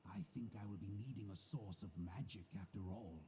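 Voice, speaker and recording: A male actor voices a weary nobleman of a fallen fantasy city. His voice is fairly low, formal and grave, in video game audio with faint noise. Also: fairly quick, mellow, natural voice. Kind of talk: scripted fantasy game dialogue.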